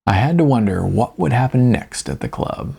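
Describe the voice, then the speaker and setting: A man's voice: mid-range voice, animated, normal speed, gravelly, warm tone, husky. A man narrating his own novella as an audiobook in the first person, with a wry, understated delivery and chatty asides.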